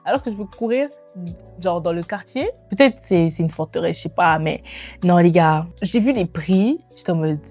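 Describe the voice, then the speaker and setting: A woman in her twenties chatting casually to viewers as a lifestyle vlogger, in an animated, informal way with slangy phrasing and bits of English mixed in. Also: on the low side, moderate pace. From immigrated to Canada. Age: 20s. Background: background music.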